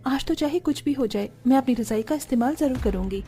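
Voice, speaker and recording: A woman's voice: high-pitched, brisk, dark tone, husky. A woman voicing a long-suffering young daughter-in-law in a cartoon, plaintive and polite. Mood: brooding. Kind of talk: Hindi cartoon dialogue acting.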